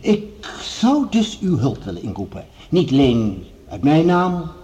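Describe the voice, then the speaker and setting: An actor playing a commanding project leader, speaking formally and with measured authority in a radio drama. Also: male voice, on the high side, sing-song, mellow. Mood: formal, rallying. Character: commanding male project leader addressing assembled scientists. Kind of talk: radio drama acting, formal speech-making.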